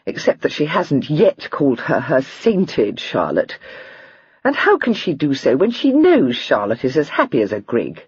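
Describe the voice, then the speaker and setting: Lively and teasing, this young lady chatters with her voice flying up high and swooping all over, soft and breathy. A woman puts her on while reading the story aloud, close to the mic in a dry, muffled recording. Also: dry recording, heavily acted.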